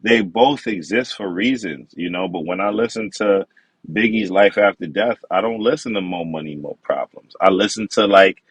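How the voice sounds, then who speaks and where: Deep-voiced man, relaxed interview talk, dry close sound. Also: moderate pace, husky, no echo, rough recording. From the Bronx, New York. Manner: relaxed, conversational, slang-flavored. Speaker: podcast guest, wine expert.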